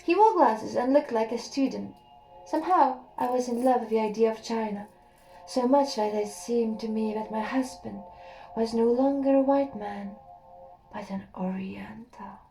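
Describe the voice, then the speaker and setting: A woman reading a first-person story aloud as an audiobook, soft and unhurried, sometimes sinking to a near whisper. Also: on the high side, soft background music, rough recording. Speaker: audiobook reader.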